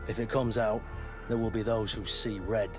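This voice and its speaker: An actor playing a wry, worldly mercenary bodyguard in a fantasy game, talking bluntly. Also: male voice, lowish voice, steady tone, moderate pace, over music, lightly acted. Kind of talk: game dialogue.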